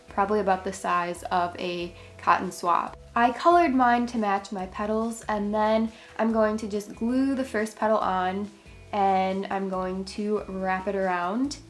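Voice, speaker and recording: A woman's voice: medium pitch, fairly even intonation, moderate pace, faint music behind. A woman hosting a craft tutorial, giving chatty step-by-step instructions, her voice congested and a little worn. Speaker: craft channel host.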